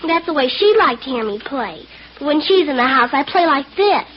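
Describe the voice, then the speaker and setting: A woman's voice: high voice, expressive, a little fast, loud music behind the voice. An actress playing a blunt, pert twelve-year-old girl in 1940s radio acting style. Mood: pert, defiant. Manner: pert, childish. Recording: muffled, noisy old radio recording.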